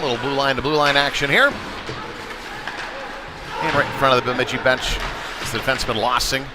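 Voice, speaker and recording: A man giving live play-by-play commentary of a youth hockey game, following the action and lifting his voice at big plays. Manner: running description with excited shouts at big plays. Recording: noisy arena with rumble and thuds.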